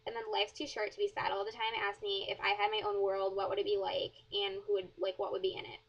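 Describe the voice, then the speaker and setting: A teenage girl from chats through follower questions, her light wrapped in a flat, level tone. She rambles, leaning on "like" and "um" as she goes. The audio is dull and lo-fi, like a cheap webcam mic. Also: mid-range voice, quick pace. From southern Wisconsin, USA. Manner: rambling, many fillers and "like". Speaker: blogger answering questions. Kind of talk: casual Q&A vlog.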